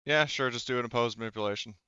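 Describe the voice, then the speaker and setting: A man's voice: mid-range voice, rapid-fire, bright, forceful tone, smooth, no echo, highs cut off. A man running a tabletop game as game master, explaining things casually in a hesitant, rambling way.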